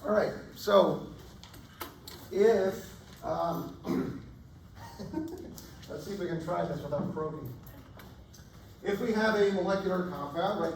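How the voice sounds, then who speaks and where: A man teaching a college chemistry class, casual and chatty, often checking in with "right?", his voice going hoarse. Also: high-pitched. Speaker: chemistry instructor. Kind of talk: classroom lecture. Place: lecture hall. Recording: echoey lecture hall, distant mic, faint room noise.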